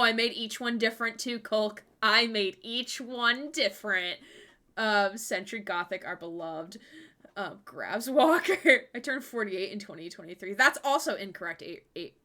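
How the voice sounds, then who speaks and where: A woman's voice: on the high side, pushed and bright. A woman in her twenties chatting casually on a livestream, laughing often and joking at her own expense. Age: 20s. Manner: giggly, self-deprecating, laughs often while talking.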